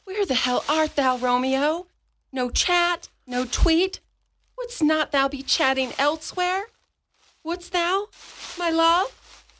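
A woman's voice: high voice, slow pace, pauses often, fairly bright tone, slight background noise, pitched above the performer's own voice. A woman playing a teasing, impatient, lovestruck young noblewoman in a radio drama, with theatrical archaic phrasing. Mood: impatient longing. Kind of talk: amateur radio drama in Shakespearean verse.

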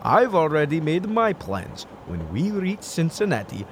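A man's voice: on the high side, big pitch swings, measured pace, slight background noise. A young man acting an earnest, naive and anxious Soviet comrade, formal and literal-minded, in a radio comedy. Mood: resigned, stubborn. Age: young adult.

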